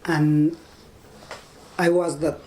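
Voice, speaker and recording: A man in his fifties from Nepal with a Nepali accent, giving an oral history interview in a measured, halting way. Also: a little high, level tone, mellow, slight background noise. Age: 50s. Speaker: interviewee, army veteran. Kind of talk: oral history interview, recounting own life.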